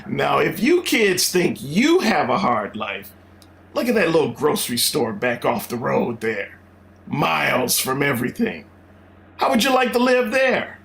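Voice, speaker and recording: An actor as a middle-aged family father from an old-time radio comedy. He speaks slowly with swooping, animated pitch, soothing and folksy, hesitating as he keeps everyone together. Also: vocal fry, exaggerated voice. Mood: cheerful, reassuring. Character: cheerful reassuring middle-aged father, flustered but soldiering on.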